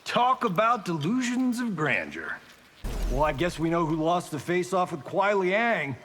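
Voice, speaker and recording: Cocky, lively Hollywood star. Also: male voice, high voice, measured pace, full character voice. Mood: flippant. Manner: flippant, boastful, mocking. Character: cocky, wisecracking male Hollywood action star. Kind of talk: video game character banter. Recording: long reverb, faint background noise.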